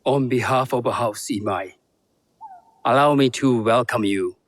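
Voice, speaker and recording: An actor playing a courteous, dignified tea master of feudal Japan, formal and gracious, in a video game cutscene. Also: male voice, medium pitch, a little slow, no room sound, natural voice. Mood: formal, welcoming.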